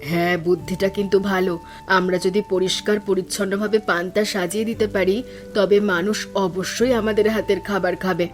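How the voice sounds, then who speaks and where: A woman voicing a resolute, hopeful poor village mother in an animated cartoon story, earnest and encouraging. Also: mid-range voice, brisk, soft background music, natural voice.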